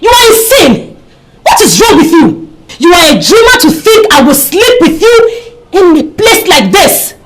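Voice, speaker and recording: High-pitched, fiery young Nigerian village woman. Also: sing-song, moderate pace, crowd noise. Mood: furious, scornful. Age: young adult. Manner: scolding, emphatic, exclamatory. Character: fiery, indignant young village woman who scolds men. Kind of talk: Nollywood village drama acting. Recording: noisy location sound with clicks.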